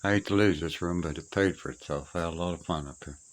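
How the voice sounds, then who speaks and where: A man's voice: low-pitched, quick pace. A middle-aged or older man rambling casually in a folksy, plainspoken way, musing to himself as he shows his things around. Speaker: hobbyist showing his old radio gear. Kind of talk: casual rambling walk-through. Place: cluttered old room being cleared out. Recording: noisy amateur recording, clicks, insect chirps.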